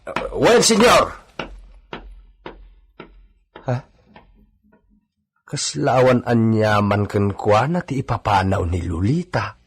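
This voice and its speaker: Man acting a male stable hand, Ilocano accent, low husky voice, gossipy, obedient, radio drama. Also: big pitch swings, slow, full character voice. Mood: respectful.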